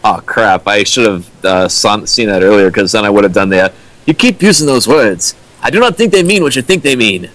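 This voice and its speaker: A man's voice: lowish voice, expressive, normal speed, a bit bright, poor audio. A young man reading character lines from a fanfic and mocking it with dry, pointed questions. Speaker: co-riffer. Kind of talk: comedic dramatic reading and riffing.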